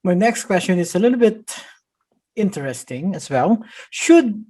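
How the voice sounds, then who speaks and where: Man asking measured interview questions. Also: sits high, sing-song, dark tone. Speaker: podcast host.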